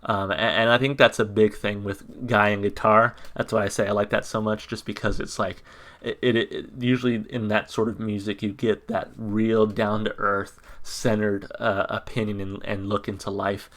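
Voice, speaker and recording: Low-voiced monotone man with many ums. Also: normal speed. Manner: hesitant, many ums and restarts. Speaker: music reaction YouTuber. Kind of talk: casual reaction commentary.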